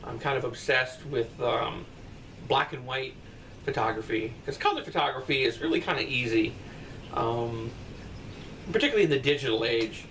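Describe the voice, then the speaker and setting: A man's voice: medium pitch, normal speed, fairly bright tone. A middle-aged man chatting casually and bluntly, answering viewer comments from his bedroom. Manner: rambling, blunt, profane asides. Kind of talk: casual comment-response chat. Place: bedroom at night, indoors. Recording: distant mic with faint room noise.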